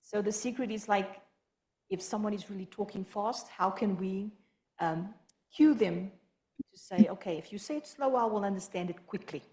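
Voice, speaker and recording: Fast-talking woman. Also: mid-range voice, darkish tone. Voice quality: quick, halting delivery with many restarts. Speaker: health professional. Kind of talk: support group presentation. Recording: echoey room.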